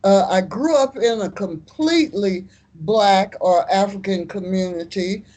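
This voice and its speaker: An American woman in her seventies, an artist and community activist, answering interview questions in an unhurried, storytelling way with firm declarations. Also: medium pitch, warm tone. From United States. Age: 70s.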